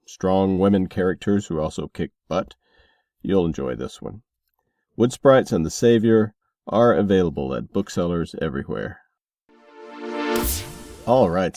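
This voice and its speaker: Low-pitched, mellow man hosting a podcast. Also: dry recording. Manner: informal, playful asides. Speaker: podcast host. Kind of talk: casual podcast hosting and book promotion.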